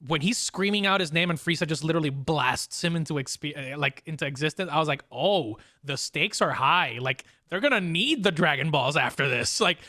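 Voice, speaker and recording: Casual male podcast host, fairly high voice. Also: expressive, fairly quick, hardly any pauses, sharp tone, dry recording, studio quality. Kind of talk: casual fan discussion of anime.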